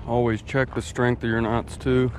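A man's voice: mid-range voice, flat delivery, moderate pace. Laid-back man vlogging while fishing outdoors. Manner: laid-back, drawling, talks while casting. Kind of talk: casual outdoor narration. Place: outdoors by a quarry lake. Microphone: head-mounted action camera. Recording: faint outdoor noise.

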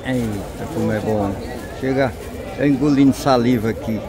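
A man with a Northeastern Brazilian accent walking through an open-air market, slowly pointing out and savoring the food on sale. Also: medium pitch. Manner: slow, savoring, points out goods. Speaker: vlogger. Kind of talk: walking market tour commentary. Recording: loud crowd noise outdoors. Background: crowd chatter and vehicles.

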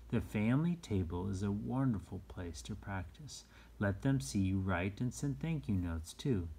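A man's voice: on the low side, darkish tone, breathy edge. A man reading a picture book aloud to young children in simple, encouraging phrases. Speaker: read-aloud storyteller for children.

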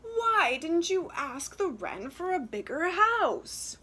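A woman's voice: very high-pitched, very animated, takes it slowly, pushed and bright, pitched above the performer's own voice, full character voice. A woman voicing a poor, discontented peasant mother in a storybook, weary, groaning and complaining. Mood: scolding.

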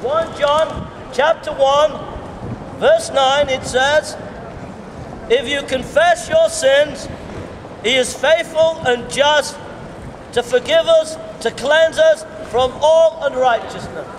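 A middle-aged man with a very high voice preaches at an unhurried, deliberate pace, hammering home repeated phrases. He is caught by a camera some way off on an open shopping street. The sound is roomy, with a long echo off the buildings, and town noise and traffic are clear behind him. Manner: slow, repetitive, emphatic preaching cadence. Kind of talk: open-air street sermon. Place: pedestrian shopping street outdoors. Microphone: far camera. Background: town street ambience and passing traffic.

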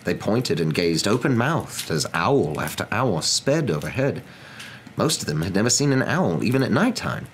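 A young man narrating a storybook in an expressive, theatrical and deliberate ASMR reading style. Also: fairly low pitch. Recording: clear steady background ambience noise.

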